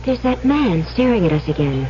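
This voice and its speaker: A woman's voice: mid-range voice, sing-song, a little fast, natural voice. An actress playing a naive, easily rattled young woman in an old-time radio drama, girlish and hesitant. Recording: dull old radio recording.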